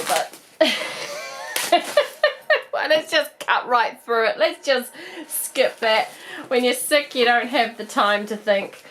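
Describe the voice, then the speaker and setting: Kiwi lady with a cold, croaky but bubbly, higher voice, chatty unboxing. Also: a bit bright, a little hiss or hum. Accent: New Zealand. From New Zealand. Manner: chatty, bubbly, laughs often. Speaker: doll collector vlogger. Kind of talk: casual unboxing review.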